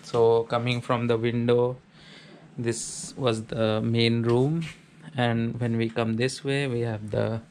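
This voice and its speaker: Flat-voiced man giving a room tour. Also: mid-range voice, slow, a little hiss or hum. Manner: plain, matter-of-fact listing of features. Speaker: vlogger giving a house tour. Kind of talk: casual walkthrough narration. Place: small student apartment indoors.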